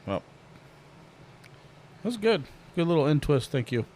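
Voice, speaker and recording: A man's voice: a little high, very animated, measured pace, a little mellow, slight background noise. A man hosting a podcast in a casual, joking way, swearing freely. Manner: laid-back, swears freely, jokey. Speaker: podcast host.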